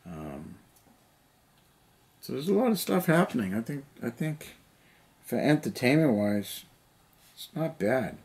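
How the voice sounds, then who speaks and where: A man's voice: mid-range voice, big pitch swings, a little slow, long pauses, darkish tone. A middle-aged man chatting casually and rambling while he paints miniatures in a cluttered basement hobby room, talking to a camera on the table. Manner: rambling, lots of "you know". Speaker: hobby vlogger. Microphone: camera on the table nearby.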